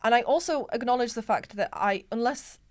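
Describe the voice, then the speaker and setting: A woman's voice: on the high side, fairly quick, no room sound, clean studio sound. Earnest British-accented woman from Poland. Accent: British English. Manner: earnest, with frequent repetition. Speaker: panel guest. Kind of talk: casual discussion.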